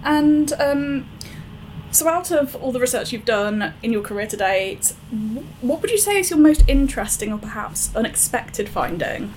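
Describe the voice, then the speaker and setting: A woman's voice: a little high, lively intonation, moderate pace, darkish tone, slight background noise, low-quality recording. A woman narrating a scripted profile and asking friendly interview questions for a science podcast.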